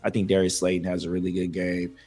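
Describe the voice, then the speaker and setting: Hoarse low-voiced man with a gravelly rasp. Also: quick pace. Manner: loose, slangy, animated banter with fillers. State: losing his voice. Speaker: podcast co-host. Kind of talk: casual sports talk.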